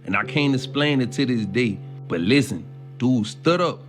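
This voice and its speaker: A man's voice: medium pitch, normal speed, soft background music. Profane, slangy man in his thirties telling a story. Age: 30s. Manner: animated, slangy, profane, many asides to listeners. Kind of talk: casual spoken storytelling.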